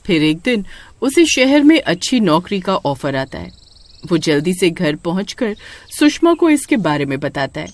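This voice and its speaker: Lively female horror story narrator. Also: on the low side, moderate pace.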